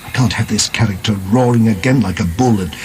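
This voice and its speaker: An actor in a radio drama playing an anxious, irritable prison governor who is fretful and blustering. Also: male voice, lowish voice, quick pace, darkish tone, faint music behind, lightly acted. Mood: uneasy. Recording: hissy archived radio drama.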